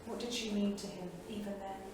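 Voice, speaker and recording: A woman's voice: mid-range voice, fairly even intonation, a little fast, close to an everyday voice. Woman acting intense 1930s wife, very echoey stage. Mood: tender, wistful. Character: intense, bold, restless wife in 1938. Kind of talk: staged reading, dramatic monologue. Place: small theatre studio. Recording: echoey theatre, distant mic, faint noise.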